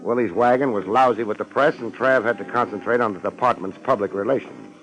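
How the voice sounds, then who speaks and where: An actor playing a wry, deadpan male private detective in a 1950s radio drama, on a vintage recording. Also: lowish voice, fairly quick, soft background music, rough recording. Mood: dry narration. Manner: deadpan, wisecracking.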